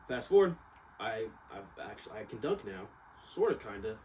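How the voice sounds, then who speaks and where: A young American man with a fairly low voice talks in a casual, slangy monologue. He rambles and corrects himself as he goes. There is clear background noise with some room ambience. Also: very animated, normal speed, darkish tone. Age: young adult. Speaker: vlogger, exercise science student.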